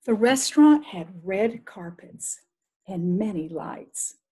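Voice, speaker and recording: A woman reading a children's picture book aloud as an expressive storyteller. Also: medium pitch, takes it slowly, husky.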